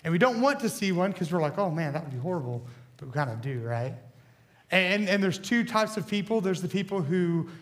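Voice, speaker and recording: Hoarse, high-voiced young pastor. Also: male voice, expressive, fairly quick. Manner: rambling, self-deprecating, many fillers and restarts. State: hoarse from bronchitis. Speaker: student pastor. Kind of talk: casual joking sermon. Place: church hall.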